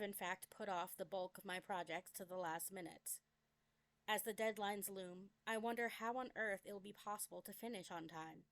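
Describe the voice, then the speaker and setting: A young adult woman reads her own memoir aloud as a scripted dramatic narration, with a deliberate, expressive delivery. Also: medium pitch. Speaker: memoir author reading own work.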